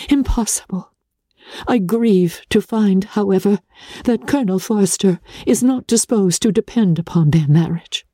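Gentle, mellow, anxious young gentlewoman voiced by a woman. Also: mid-range voice, no echo.

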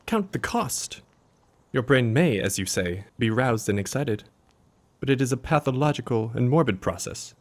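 Earnest, formal male army doctor played by a man, medium pitch, a loyal companion in a Victorian audio drama. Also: darkish tone, close to an everyday voice.

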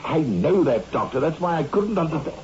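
An actor playing an earnest hospital doctor, formal and grave, in a 1960s radio horror drama. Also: male voice, fairly high pitch, big pitch swings, fairly quick, heavy reverb, long reverb tail, distant mic. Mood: alarmed. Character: earnest male hospital doctor assisting in surgery. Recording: dull, noisy old radio recording.

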